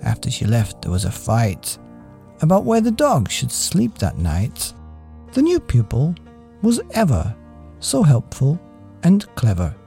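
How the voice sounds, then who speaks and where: A woman reading a rhyming children's storybook as a podcast storyteller, expressive and measured. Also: extremely low pitch, gravelly, faint music behind. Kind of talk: scripted storybook narration in rhyme.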